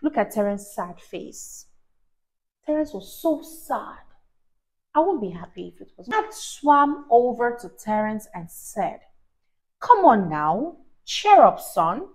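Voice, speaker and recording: A young woman hosts a children's story time in a high voice that rises and falls freely. She reads slowly with deliberate, teacher-like emphasis and puts questions to the young listener. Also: sing-song, low-quality recording. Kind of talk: animated read-aloud to a child. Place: small indoor room, on a sofa. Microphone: clip-on lapel mic.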